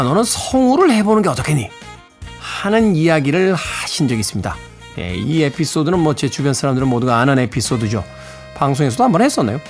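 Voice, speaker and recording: Lively middle-aged male radio DJ over music. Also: a little high. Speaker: radio host and DJ. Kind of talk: music radio presenting with casual personal anecdotes.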